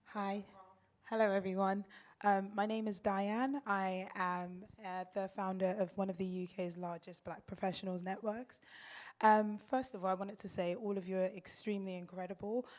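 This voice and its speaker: A woman's voice: mid-range voice, flat delivery, normal speed, a little mellow. A woman from the audience politely and nervously asking the panel a question. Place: lounge at a festival panel event.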